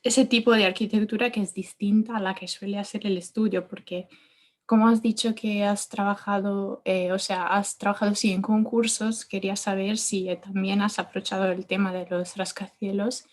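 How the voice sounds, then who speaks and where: A woman's voice: mid-range voice, little pitch movement, normal speed, darkish tone. A young Italian woman with an Italian accent, a non-native speaker, politely and hesitantly asking a question over a video call. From Italy. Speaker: audience member asking a question. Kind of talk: question at an online conference.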